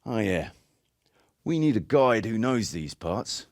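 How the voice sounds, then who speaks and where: An actor playing a seasoned medieval mercenary captain in a video game, gruff, bossy and wry, with a coarse, commanding, colloquial way of talking. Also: male voice, fairly low pitch, big pitch swings, slow, dry recording, studio quality. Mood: gruff, businesslike. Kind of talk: video game character voice acting.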